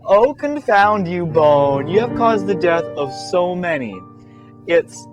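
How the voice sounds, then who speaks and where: Man voicing a haughty, melodramatic lady pony, raised pitch. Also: sing-song, slow pace, over music, heavily acted. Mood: anguished. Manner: melodramatic, exclaiming. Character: dramatic, haughty female pony, indignant and theatrical.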